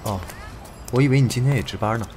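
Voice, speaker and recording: A man's voice: mid-range voice, fast, noisy background, natural voice. A man playing an assured, cheeky young detective. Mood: casual, defiant. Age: young adult. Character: bold young male police detective, cheeky with his father. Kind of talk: Chinese audio drama acting.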